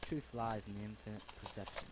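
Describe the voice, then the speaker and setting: A man acting a solemn philosophical voice in an amateur afterlife audio drama, speaking in measured declarations. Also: lowish voice, fairly even intonation, very noisy, natural voice. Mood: solemn, reflective. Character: solemn philosophical male voice of the afterlife, musing on purpose. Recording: cassette dub under loud music.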